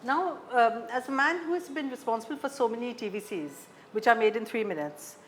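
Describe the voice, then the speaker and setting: A woman's voice: fairly high pitch, lively intonation, brisk, fairly bright tone. A woman, an interviewer putting questions in a conversational way. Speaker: interviewer, journalist. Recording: faint background noise.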